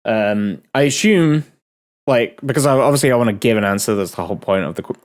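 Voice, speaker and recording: A man hosting a casual game developer Q&A, a bit ill and nasal, rambling with many pauses and restarts. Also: mid-range voice, lively intonation. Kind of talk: casual dev talk Q&A.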